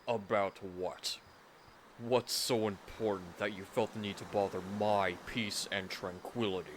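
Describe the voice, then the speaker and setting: A man's voice: lowish voice, fairly even intonation, a little slow, slight background noise, lightly acted. A man playing a haughty, inhuman male villain with a pompous, contemptuous, formal way of talking in an audio roleplay. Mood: suspicious, annoyed. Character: haughty, menacing inhuman male villain, disembodied head in a jar.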